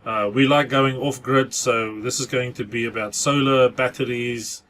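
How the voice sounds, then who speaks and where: A man's voice: medium pitch, fairly even intonation, normal speed, fairly bright tone. A man from South Africa with a South African accent, talking casually to camera for his own channel and dropping in Afrikaans words. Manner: chatty, mixes in Afrikaans phrases. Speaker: vlogger. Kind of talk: casual vlog monologue.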